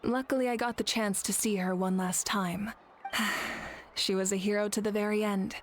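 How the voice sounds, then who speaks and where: Casting: actress as dignified female archon, mid-pitched, formal and composed, anime game, faint ambient noise. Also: steady tone, lightly acted. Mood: solemn. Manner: measured and formal. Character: composed, authoritative, compassionate female ruler deity.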